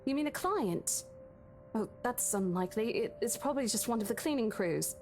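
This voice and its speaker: A woman's voice: fairly high pitch, expressive, moderate pace, darkish tone, soft background music. A woman voicing a concerned but composed estate agent colleague in a visual novel, sensible and questioning. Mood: skeptical.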